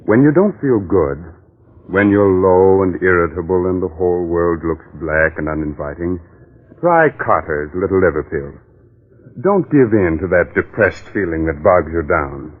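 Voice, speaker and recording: A man hosting a 1940s radio mystery show, reading a script in a theatrical, confiding way straight to the listener. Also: low voice. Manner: theatrical, confiding direct address. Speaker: program host and pitchman. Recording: muffled old radio broadcast recording. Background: music.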